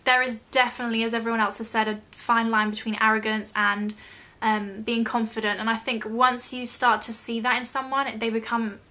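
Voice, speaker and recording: A woman's voice: fairly high pitch, flat delivery, brisk, few pauses, sharp tone. A young British woman chatting casually in a question-and-answer vlog, rambling a little and self-deprecating. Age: young adult. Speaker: YouTube vlogger.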